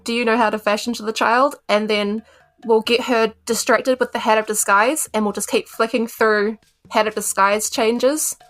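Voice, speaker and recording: A woman's voice: on the high side, fairly even intonation, moderate pace, a bit bright. A woman with a New Zealand accent, talking casually as a player improvising in a tabletop game. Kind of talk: casual improvised roleplay chat.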